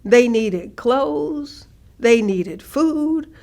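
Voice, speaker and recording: A woman performing a staged monologue as a proud, defiant working mother, drawing out her words for deliberate emphasis. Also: a little high, animated, vocal fry, darkish tone, full character voice. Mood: proud, resolute. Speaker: stage actress performing oral history. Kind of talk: dramatic monologue, staged reading.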